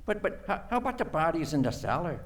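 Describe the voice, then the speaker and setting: A man's voice: fairly high pitch, big pitch swings, fast. A man playing a gullible, folksy, talkative neighborhood cop in an old-time radio play. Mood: puzzled, eager. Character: chatty, gullible male neighborhood beat cop.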